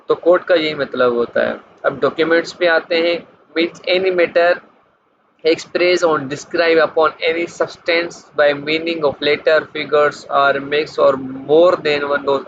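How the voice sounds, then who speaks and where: A muffled, lo-fi recording with clear background noise carries a man's fairly high voice. He speaks with a Pakistani accent in the manner of an informal lecturer, reading out material and then explaining it, often repeating his phrases. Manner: explanatory, slows markedly when reading English clauses, repeats phrases. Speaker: law lecturer.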